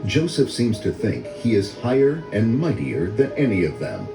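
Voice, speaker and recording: An older man reading a children's story in a measured, dramatic storytelling manner. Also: on the low side, huge pitch swings. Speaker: read-along story narrator. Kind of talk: scripted children's story narration. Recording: roomy echo, music under voice. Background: orchestral music.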